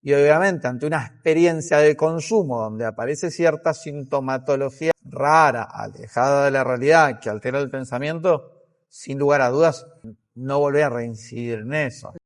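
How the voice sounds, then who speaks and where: A man with an Argentine accent, a psychiatrist giving explanatory interview answers in a measured way, thinking aloud as he goes. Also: mid-range voice.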